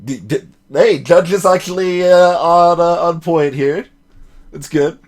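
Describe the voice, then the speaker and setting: A man's voice: a little high, measured pace. A man doing live let's play commentary as a game streamer, with wry, joking asides. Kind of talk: live let's play commentary mixed with voiced dialogue reading. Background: game music.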